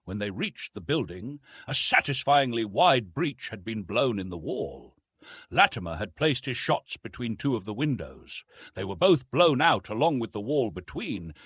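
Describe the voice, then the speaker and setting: A man narrating a classic science fiction story with expressive, measured delivery. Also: mid-range voice, slightly rough, dry recording. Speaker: audiobook narrator.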